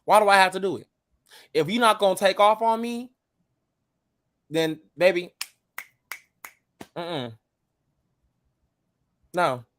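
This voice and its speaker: Casting: twenties male guest, blunt, level-toned, swears calmly, slightly high voice. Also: slow, long pauses, dry recording. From United States. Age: 20s. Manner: blunt, calm and cussing. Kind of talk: casual chat.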